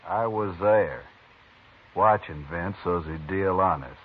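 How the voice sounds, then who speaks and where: An actor playing an angry, threatening Old West townsman, husky and blunt, in 1950s radio western style. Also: low voice, slow pace, heavily acted. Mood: angry, accusing. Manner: blunt, defiant, folksy grammar. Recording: muffled 1950s radio recording.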